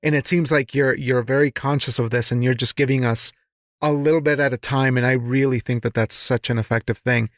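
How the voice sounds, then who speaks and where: Guy interviewing on a podcast, mid-pitched, very dry sound, encouraging and chatty. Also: fairly even intonation, a little fast, dry recording. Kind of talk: casual interview chat.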